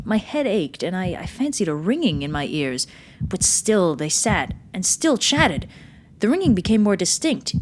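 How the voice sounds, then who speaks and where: A woman's voice: medium pitch, darkish tone, poor audio. Woman acting an intense, mad Gothic horror narrator. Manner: theatrical, intense, precise old-fashioned phrasing. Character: nervous, manic murderer insisting on their sanity. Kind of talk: dramatic reading of a Gothic horror story.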